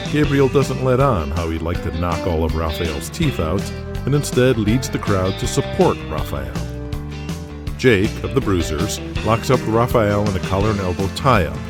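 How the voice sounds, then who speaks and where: A man narrating a scripted audio drama. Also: low-pitched.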